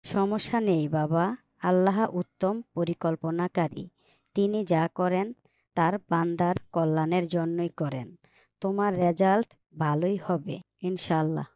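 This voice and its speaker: A fairly low, soothing mother's voice acted by a woman, close and dry. She sounds devout, calm and caring, in the measured manner of a moral cartoon. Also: no room sound, natural voice. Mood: caring, reassuring. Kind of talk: scripted Islamic cartoon dialogue.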